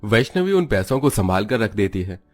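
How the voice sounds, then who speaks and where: Casting: male narrator with a deep voice for scripted children's cartoon tales. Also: very animated, brisk, soft background music.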